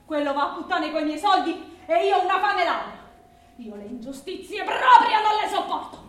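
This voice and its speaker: A woman playing a hot-headed, hungry young male soldier, loud and indignant, in theatrical radio acting. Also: extremely high pitch, expressive, normal speed, full character voice. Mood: indignant, furious. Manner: loud, blustering. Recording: roomy, distant microphone, radio theatre.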